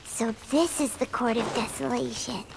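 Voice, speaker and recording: A woman voicing a tiny, excitable female companion in a video game, chatty and jittery, speaking of herself in the third person. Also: a little high, moderate pace, vocal fry, background noise. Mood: nervous. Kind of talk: video game character dialogue. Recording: loud game music behind. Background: game music.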